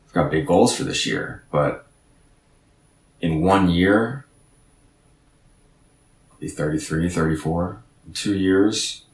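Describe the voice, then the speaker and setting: Very deep-voiced man, roomy and distant. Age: 30s. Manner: earnest, reflective, repeats phrases as he goes. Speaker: podcast guest. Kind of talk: motivational talk.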